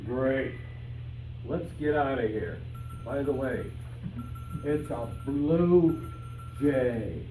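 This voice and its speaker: A man's voice: medium pitch, extremely slow, pauses often, dark tone, soft background music. A man playing a contrary, plodding detective's pal who stretches words for emphasis in an old-time radio style play. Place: live rehearsal before an audience. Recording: echoey room, distant mic, clear noise.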